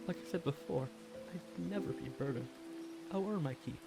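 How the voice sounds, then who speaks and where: A man voicing a clingy, devoted stray catboy in an ASMR roleplay, pleading and submissive, in halting phrases. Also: fairly high pitch, creaky. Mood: timid, pleading. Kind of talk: ASMR roleplay monologue addressed to the listener. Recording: loud music and rain behind. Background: music and rain.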